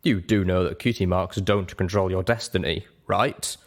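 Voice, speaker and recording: A man voicing a calm, frank pony doctor in a dramatized audiobook, measured and matter-of-fact. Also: sits low, close to an everyday voice. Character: calm, frank pony doctor giving grave medical advice.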